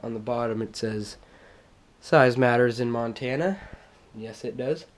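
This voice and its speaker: A young man with a stuffed-up cold voice, casually showing off his things in a halting home vlog full of fillers. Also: mid-range voice, a little hiss or hum. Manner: halting, many fillers and restarts. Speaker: vlogger. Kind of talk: casual show-and-tell vlog. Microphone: action camera on a tripod.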